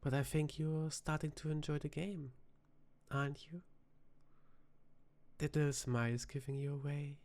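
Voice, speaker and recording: A man playing a flirty, charming vampire bartender in a soft-spoken ASMR roleplay, teasing and seductive in an unhurried way. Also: fairly high pitch.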